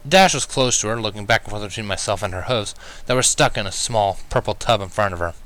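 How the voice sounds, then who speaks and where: A man reading fan fiction aloud as an animated first-person narrator. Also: lowish voice, quick pace.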